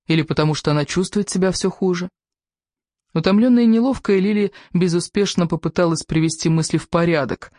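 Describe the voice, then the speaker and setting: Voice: mid-range voice, brisk, a little mellow, no echo, clean studio sound. A narrator reading literary fiction from a script, acting out the dialogue. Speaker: audiobook narrator.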